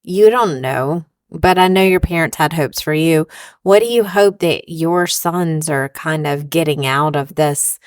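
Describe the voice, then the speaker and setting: A woman's voice: low-pitched, measured pace, dry recording, clean studio sound. A woman interviewing a guest as a food and travel writer, asking thoughtful, reflective questions. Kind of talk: conversational interview.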